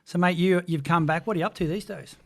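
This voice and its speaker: Aussie host, rapid-fire, chatty, short friendly questions. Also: male voice, high-pitched, gravelly. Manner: brief encouraging backchannels, quick questions. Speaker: podcast host. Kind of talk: casual podcast interview.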